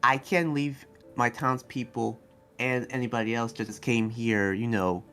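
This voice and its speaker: A man's voice: medium pitch, fairly even intonation, unhurried, bright, forceful tone, faint music behind. A man playing an earnest, protective demon fighter in an improvised anime game roleplay, talking in a hesitant, rambling way. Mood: concerned. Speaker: roleplay series player.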